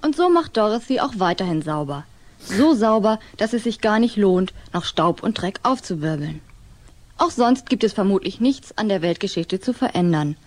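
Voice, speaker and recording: A woman's voice: mid-range voice, animated, moderate pace, close to an everyday voice. A woman narrating a sitcom audio drama from a script in a sing-song, read-aloud manner. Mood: storytelling.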